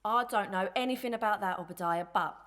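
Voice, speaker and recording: A woman playing a lively, gossiping young servant in an audio melodrama, chatty and emphatic, pert but good-hearted. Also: medium pitch, fast, natural voice. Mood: gossipy.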